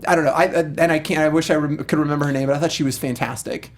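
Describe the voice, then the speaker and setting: A man's voice: fairly high pitch, fairly even intonation, rapid-fire. Man co-hosting, casual and emphatic. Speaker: review show co-host. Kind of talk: casual, opinionated film discussion.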